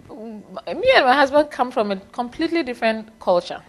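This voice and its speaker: A woman's voice: fairly high pitch, expressive, a little fast. A middle-aged woman from Ghana whose first language is Fanti, speaking thoughtfully in an interview, with frequent small laughs and restarts. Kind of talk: reflective personal interview.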